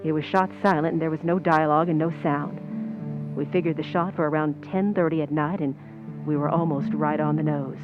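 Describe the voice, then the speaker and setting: A woman's voice: low voice, steady tone, brisk, lo-fi sound, music in the background, close to an everyday voice. A woman playing a brassy, plain-spoken film stand-in who schemes and confides in an old-time radio drama. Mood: confiding, tense. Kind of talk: old-time radio drama recreation, dialogue and confessional narration.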